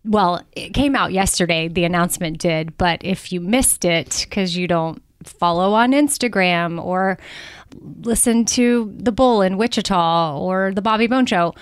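A woman's voice: mid-range voice, smooth, no room sound. Woman, forties, podcast host, casual chat, laughs a lot, dry close mic. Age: 40s. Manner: chatty, laughs often, reads aloud slowly.

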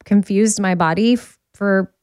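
A woman in her early forties talks openly and reflectively in a relaxed podcast chat. Her pitch sits mid-range for a woman. The recording is close and dry, with no room sound. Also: measured pace, smooth, no room sound. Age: 40s. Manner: candid, reflective, talks about her own recovery. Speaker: podcast host. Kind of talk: casual podcast conversation.

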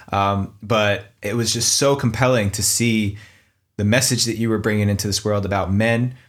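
Deep-voiced earnest man hosting a podcast. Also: fairly bright tone. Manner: earnest, enthusiastic, effusive praise. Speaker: podcast host. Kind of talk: podcast intro and interview.

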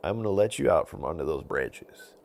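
A man's voice: deep voice, animated, a little fast, vocal fry, a little mellow, slight background noise. A man voicing a brave, helpful hero in a children's story, confident and protective, speaking in firm declarations.

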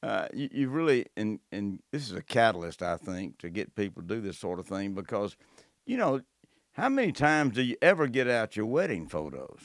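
A man hosting a casual chat, earnest and reflective, with a halting delivery. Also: on the low side, very animated, no echo, studio quality. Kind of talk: casual conversation.

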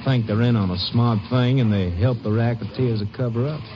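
An actor playing a worldly, cynical newspaper editor in a 1950s radio drama. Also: male voice, medium pitch, a little fast, noisy background, natural voice. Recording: muffled old radio broadcast.

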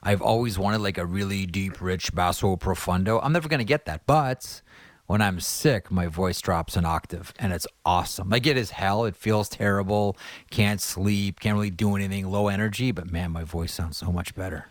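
A man's voice: sits low, normal speed, no room sound. A man with a nasal voice hosting a podcast, playful and enthusiastic in casual chat. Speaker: podcast host.

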